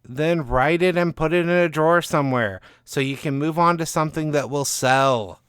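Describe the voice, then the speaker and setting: A man voicing a frustrated literary agent in an audio story, measured and persuasive, with firm, worn patience. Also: on the high side, fairly bright tone, smooth, no room sound, clean studio sound, voice pushed up, natural voice. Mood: exasperated.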